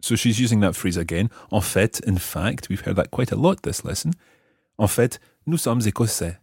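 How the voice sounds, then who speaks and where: A man hosting a language lesson, explaining example phrases piece by piece with careful, measured articulation. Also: fairly low pitch, animated, vocal fry, a little mellow, husky, no room sound, clean studio sound. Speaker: language teacher and host.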